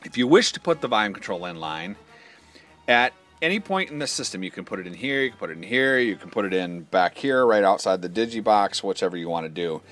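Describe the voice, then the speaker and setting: A man's voice: fairly low pitch, lively intonation, fairly quick, a bit bright, faint music behind. A man demonstrating a product in an informal, matter-of-fact, step-by-step instructional style. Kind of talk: informal hands-on instructional walkthrough.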